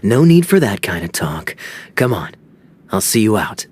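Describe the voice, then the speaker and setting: A man's voice: on the low side, very animated, a little slow, darkish tone, slightly rough, exaggerated voice. An actor voicing a suave young male knight in a game cutscene, easygoing, wry and charming. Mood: caring. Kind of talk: video game cutscene dialogue. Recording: game audio with background music.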